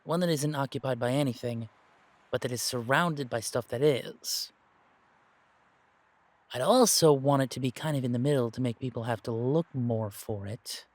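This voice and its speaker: A man's voice: mid-range voice, normal speed, close to an everyday voice. A man playing an anxious young gamer who doubts himself, hesitant and stammering, in an amateur audio roleplay. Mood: tentative reasoning. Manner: hesitant, stammering, thinking aloud. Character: anxious, self-doubting young male gamer, earnest, reluctant tracker.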